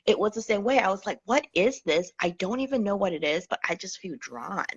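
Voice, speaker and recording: A woman from Singapore, a guest in an interview on a video call, telling her story in a lively way and acting out her past thoughts. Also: medium pitch, a little fast, breathy edge, lo-fi sound. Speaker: guest, Akashic Records healer. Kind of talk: casual interview chat.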